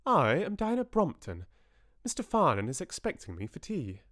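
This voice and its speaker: Man voicing a poised, high-pitched well-bred young woman. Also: huge pitch swings, moderate pace, a bit bright, no echo, clean studio sound, heavily acted. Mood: poised, loud. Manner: spells words out carefully. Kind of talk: audiobook character dialogue.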